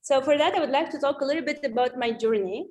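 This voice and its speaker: Higher-pitched woman. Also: racing, a little mellow. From Tunisia. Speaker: conference speaker, data science team lead. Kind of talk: informal career advice presentation. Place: remote video conference.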